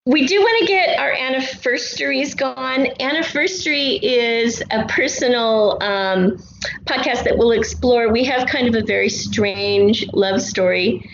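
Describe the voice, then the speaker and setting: A woman's voice: a little high, unhurried. A middle-aged American woman chatting as an interview guest, explaining things in an expansive, storytelling way. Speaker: guest, audio drama writer. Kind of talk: casual interview chat. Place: untreated city apartment room. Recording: roomy, dull sound with faint noise.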